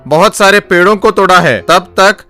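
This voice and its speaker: A man playing an officious, harried police sub-inspector with a commanding, official manner in an animated comedy story. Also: high-pitched, normal speed, soft background music.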